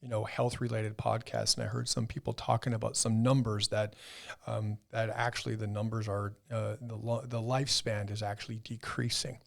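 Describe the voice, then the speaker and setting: Podcast doctor, man in his 50s. Fry-edged voice, conversational, loosely explaining and qualifying as he goes. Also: fairly low pitch, level tone, moderate pace. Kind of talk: casual conversational health discussion.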